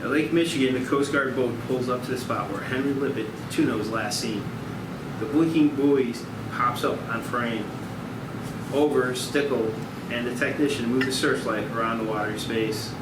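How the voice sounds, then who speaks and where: A man's voice: medium pitch, normal speed. A man reading stage directions as narrator of a screenplay table read. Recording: echoey noisy room, far from mic.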